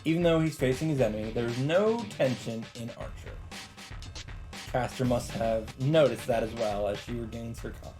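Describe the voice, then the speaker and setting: Male let's play host, mid-pitched, reading story narration with short asides, game music behind him. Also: moderate pace. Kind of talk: reading visual novel narration aloud with brief asides.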